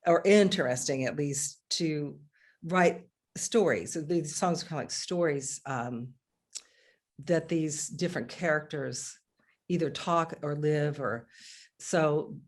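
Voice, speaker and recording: A middle-aged woman, a singer, talking casually on a video call from her living room, chatty and animated with frequent restarts. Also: low-pitched, measured pace. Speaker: singer and guest.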